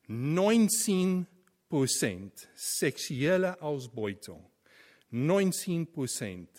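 Earnest Afrikaans-speaking male preacher. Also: on the high side. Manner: earnest, emphatic, with slow stressed phrases and non-native grammar slips. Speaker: preacher, former theology teacher. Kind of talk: sermon.

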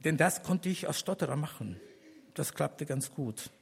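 Husky older man giving an earnest sermon testimony. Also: on the high side, expressive. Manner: earnest, deliberate with pauses, rising to emphatic appeals. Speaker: church elder giving a testimony.

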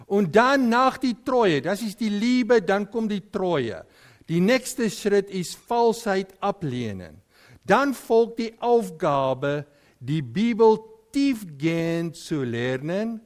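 A man preaching a sermon in German with an Afrikaans accent, Afrikaans being his first language, often pausing and searching for words. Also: a little high.

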